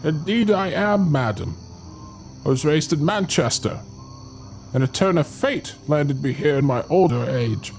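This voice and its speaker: An actor as a slow-speaking old English groundskeeper. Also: male voice, on the high side, expressive, a little mellow, a little hiss or hum. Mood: courteous. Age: older. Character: old English male cemetery groundskeeper, blunt yet courteous. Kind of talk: audio drama acting.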